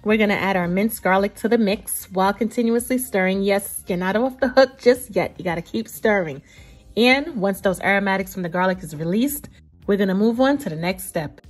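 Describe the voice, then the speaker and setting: A woman's voice: medium pitch, brisk, soft background music. A woman narrating a recipe casually as a cooking host, chatty and playful, talking straight to viewers.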